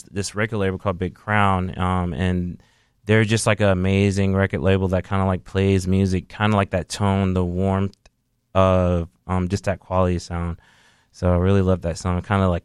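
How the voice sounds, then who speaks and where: A man hosts a casual radio show, his low voice very smooth and resonant. He rambles easily, leaning on fillers like "um" and "kinda". The sound is dry and close. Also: dry recording. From Haitian descent. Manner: relaxed, rambling with many fillers.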